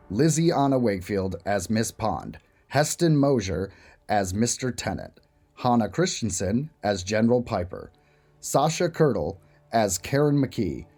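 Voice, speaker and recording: Guy reading show credits, low warm voice, lively intonation, faint music. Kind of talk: scripted credits announcement.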